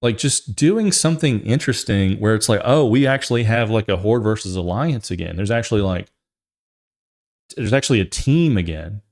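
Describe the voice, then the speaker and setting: Low-voiced man rambling about video games. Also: lively intonation, moderate pace, a little mellow. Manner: rambling, emphatic, repeats phrases. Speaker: gaming show host. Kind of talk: casual opinionated commentary on video games.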